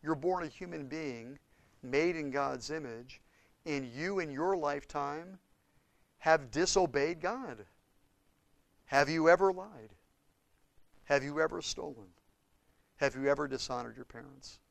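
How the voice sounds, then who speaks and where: Man preaching, fired up then quiet, slightly high voice, dry sound. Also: a little slow, vocal fry, pauses often, no room sound. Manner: impassioned preaching, swings from loud to hushed. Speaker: pastor. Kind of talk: sermon.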